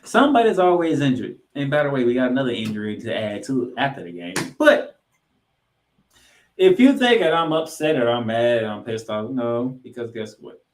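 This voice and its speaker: A man hosting a sports podcast, giving football commentary in an emphatic way, with dramatic pauses and repeated phrases. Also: medium pitch, very animated.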